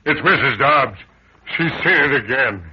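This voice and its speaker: Terse, ominous caretaker on old radio. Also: male voice, medium pitch, expressive, unhurried, breathy edge. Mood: urgent, ominous. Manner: terse, foreboding. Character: ominous, tight-lipped male caretaker and handyman. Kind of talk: old-time radio drama acting. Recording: dull old radio recording.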